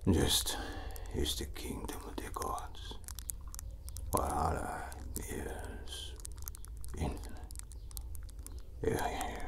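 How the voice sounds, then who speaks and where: A man playing an enigmatic old Viking village elder in a whispered ASMR roleplay, with a put-on Nordic accent and a cryptic, solemn, halting delivery. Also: heavily acted. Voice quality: breathy, whispered, very low.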